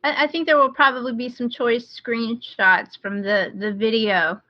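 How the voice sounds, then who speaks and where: A woman's voice: fairly high pitch, fairly even intonation, moderate pace, dry recording. A woman podcast host chatting casually and giving recommendations on a dull, lo-fi internet call. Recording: dull, lo-fi online call audio.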